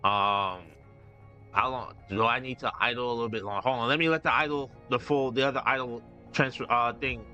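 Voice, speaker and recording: A young man streaming a game, commenting live in an excitable, profane rant full of repeats and restarts. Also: medium pitch, fairly quick, faint music behind. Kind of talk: ranting live gameplay commentary.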